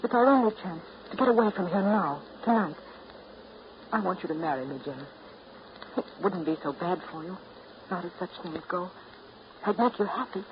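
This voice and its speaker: An actress playing an earnest, devoted woman in love in a 1930s radio drama, speaking with quiet, urgent insistence. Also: on the low side, normal speed, long pauses, lightly acted. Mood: tender, urgent. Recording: muffled 1939 radio broadcast with clear hiss.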